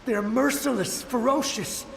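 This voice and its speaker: Desperate soldier in echoing reverb. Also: male voice, very high voice, moderate pace, creaky, full character voice. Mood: urgent, frightened. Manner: urgent, dramatic. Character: desperate, dutiful male soldier, battle-worn and fearful. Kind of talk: video game voice acting. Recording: echoing hall reverb, faint noise.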